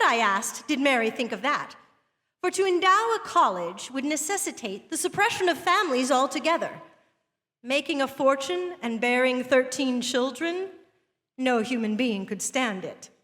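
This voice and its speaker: A woman's voice: high-pitched, a bit bright. A woman performing a witty, indignant woman essayist in an emphatic, theatrical stage reading with arch, scornful wit. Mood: emphatic, arguing. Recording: echoey theatre hall, long reverb.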